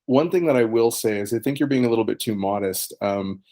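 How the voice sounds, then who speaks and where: A man's voice: mid-range voice, fairly quick, dark tone. A man hosting a fitness podcast, a coach himself, who talks to his guest in an eager, casual way. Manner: enthusiastic, conversational, says "like" and "you know".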